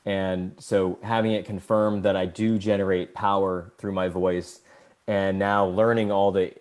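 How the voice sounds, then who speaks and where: A man co-hosting a podcast, talking earnestly in a casual spiritual chat, rambling a little and getting animated as he tells stories. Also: low voice, measured pace. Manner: earnest, rambling, gets animated when telling a story. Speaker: podcast co-host.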